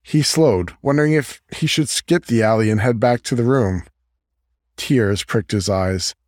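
A man's voice: medium pitch, a little slow, darkish tone, dry recording, clean studio sound. A man reading a scripted fiction story aloud as an audiobook narrator.

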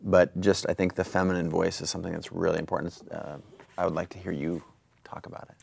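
Deep gravelly male podcast host. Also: flat delivery, quick pace, darkish tone, no echo. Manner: thoughtful, searching questions. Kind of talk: podcast interview.

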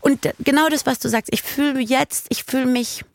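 Animated woman, slightly gravelly. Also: high voice, no echo. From Germany. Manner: animated, rapid storytelling with restarts and English words mixed in. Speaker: comedian guest. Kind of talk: casual conversation.

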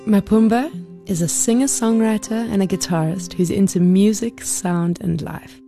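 Woman podcast host, gravelly and mellow; encouraging interviewer. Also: medium pitch, normal speed, soft background music. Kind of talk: scripted intro and interview questions.

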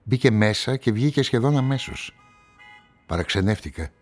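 An actor playing a brooding, lovelorn narrator of a radio crime story, measured and reflective. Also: male voice, medium pitch, expressive, over music, close to an everyday voice. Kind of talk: scripted first-person radio drama narration.

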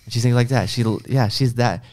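A man's voice: medium pitch, quick pace, darkish tone. A man hosting a podcast, chatting casually and acting out little imagined quotes as he talks. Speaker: podcast host. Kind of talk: casual interview chat.

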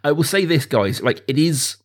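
A man's voice: a little high, animated, normal speed. Chatty man hosting a film podcast. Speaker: podcast host. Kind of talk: casual film discussion.

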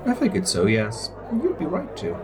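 Suave, wildly expressive recruiter. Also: male voice, a little high, moderate pace, a crowd in the background. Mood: calm, assured. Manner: courteous, theatrical, formal phrasing. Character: suave, polite, scheming man recruiting spies. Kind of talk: audio drama acting.

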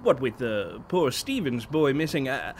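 An actor playing an older, folksy and evasive small-town mayor in an audio drama, with an avuncular, hesitant manner. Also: male voice, mid-range voice, big pitch swings, measured pace, slight background noise. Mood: nervous, reassuring.

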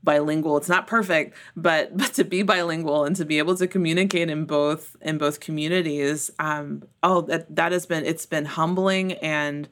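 A woman's voice: low voice, steady tone, moderate pace, few pauses, a bit bright. A woman from the United States with an American accent, answering conversationally as an interview guest and often laughing as she talks. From Memphis, United States. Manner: laughs often while talking. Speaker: interview guest in church ministry.

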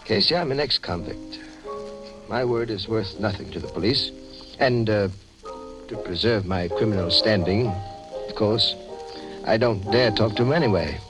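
An actor playing a bitter, genteel ex-convict swindler in 1950s radio crime drama, speaking in precise wordy phrases mixed with underworld slang. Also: male voice, lowish voice, moderate pace, long pauses, natural voice, poor audio. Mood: earnest, grim. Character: shifty genteel male ex-convict book swindler, bitter, secretive. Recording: old 1950s radio recording, music behind.

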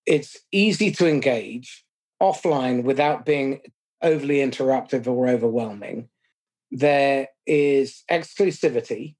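A middle-aged man hosting a podcast, summing up points in a measured, self-deprecating way. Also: medium pitch, darkish tone. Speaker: podcast host. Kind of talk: conversational podcast interview.

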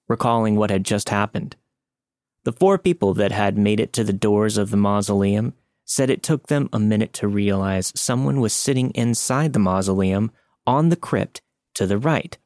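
A man's voice: lowish voice, animated, normal speed, a little mellow, no echo, studio quality. A man reading a scary first-person story as scripted podcast narration.

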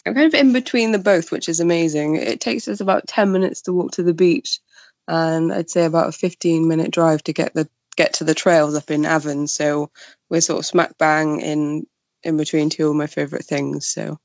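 A young woman with a gravelly, creaky edge to her voice chats casually as a podcast guest. The sound is slightly dull and quite dry, with little room around it. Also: low voice, fairly even intonation, moderate pace, darkish tone, no echo. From Wales. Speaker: podcast guest, freelance journalist. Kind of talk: casual interview chat.

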